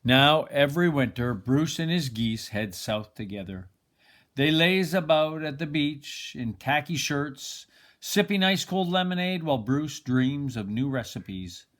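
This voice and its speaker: An older man reading a children's picture book aloud expressively, like a grandfather, with dramatic pauses. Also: fairly high pitch, animated, a bit bright.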